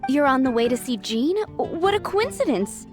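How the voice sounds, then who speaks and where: A woman's voice: high-pitched, sing-song, normal speed, over loud music. An actress playing a polite young townswoman in a video game, earnest and fretful. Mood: worried.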